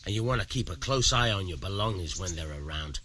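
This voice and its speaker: Sly gruff medieval miller, very animated. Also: male voice, deep voice, fairly quick, a little hiss or hum. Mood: grumbling. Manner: sly, persuasive, coarse-tongued. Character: shrewd, amoral medieval village miller, gruff man. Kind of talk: video game dialogue acting.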